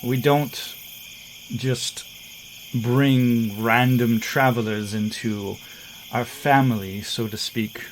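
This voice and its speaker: A man voicing a calm, persuasive female were-tiger hunter who speaks in a measured, formal way. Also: mid-range voice, a little hiss or hum, close to an everyday voice, rough recording.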